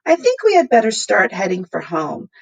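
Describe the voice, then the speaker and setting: A woman's voice: medium pitch, wildly swooping pitch, moderate pace, creaky, slightly rough, muffled recording, exaggerated voice. A woman voicing an enthusiastic, kindly older male professor who explains and exclaims in old-fashioned phrases. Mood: encouraging.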